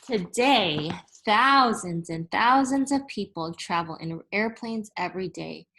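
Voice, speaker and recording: A woman reading a children's science picture book aloud like a teacher, in a deliberate, instructive way that makes each term clear. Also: mid-range voice, lively intonation, pushed and bright, a little hiss or hum, poor audio. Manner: deliberate, instructive, spelling out and explaining terms.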